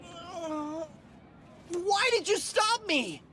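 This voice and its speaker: A woman's voice: extremely high pitch, animated, very slow, noisy background, exaggerated voice. A woman playing an earnest, emotional young kid fighter in an anime dub, with a plaintive, impassioned delivery. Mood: tearful, desperate.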